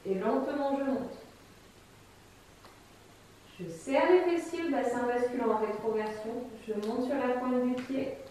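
A woman in her thirties leading a guided chair exercise class, calmly cueing each step while she demonstrates. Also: sits high, unhurried, pauses often, slight background noise. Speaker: fitness instructor. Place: bright empty room with wooden floor. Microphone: camera mic from a distance. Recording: echoey room, voice far from mic.

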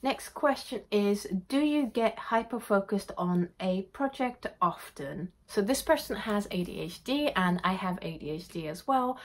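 A woman's voice: mid-range voice, lively intonation, unhurried, hardly any pauses. A woman in her thirties, born in Japan, answering viewer questions casually to camera in her home art studio, reading each question aloud before a candid reply. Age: 30s. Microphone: camera in front at desk distance.

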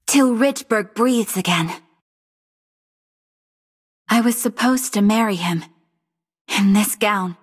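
A woman voicing a noble, sorrowful undead queen in a video game, with a breathy voice and a solemn, theatrical, regal delivery. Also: mid-range voice, slow pace, pauses often. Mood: solemn, resolute. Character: sorrowful, noble undead queen guarding her fallen kingdom. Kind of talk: video game hero voice lines.

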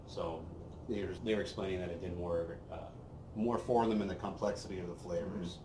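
A man's voice: steady tone, fairly quick. A young man appearing as a guest, chatting in a laid-back way with short replies on an outdoor patio. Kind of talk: casual chat. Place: outdoor patio by a brick wall. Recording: roomy sound with clear background rumble.

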